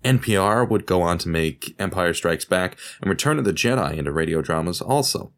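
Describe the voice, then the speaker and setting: Deep voiced guy narrating a history podcast, dramatic emphasis, really lively up and down delivery. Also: brisk. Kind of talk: scripted documentary narration.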